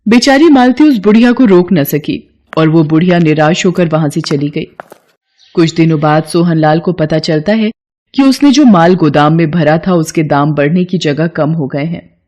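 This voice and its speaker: A woman's voice: low-pitched, expressive, moderate pace, warm tone. A woman narrating a scripted moral tale as a storyteller.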